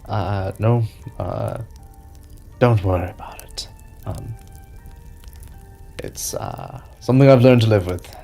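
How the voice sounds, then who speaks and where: Reserved male fantasy companion, played by a male game master, creaky low voice, awkward dry delivery. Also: huge pitch swings, takes it slowly, long pauses, mellow, soft background music, heavily acted. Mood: guarded, reflective. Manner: hesitant, dry.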